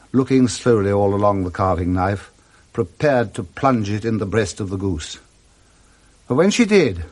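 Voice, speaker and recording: A man with a British accent narrating a literary story for radio drama, with expressive, measured dramatic phrasing. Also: a little high.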